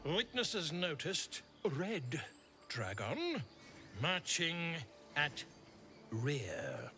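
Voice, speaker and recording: An actor playing a flamboyant, curious bard in a video game, speaking in a theatrical, verbose and pompous way. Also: male voice, fairly high pitch, huge pitch swings, extremely slow, pushed and bright, soft background music, heavily acted. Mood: pompous, intrigued. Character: flamboyant, curious male bard and self-styled scholar. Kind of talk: video game character acting.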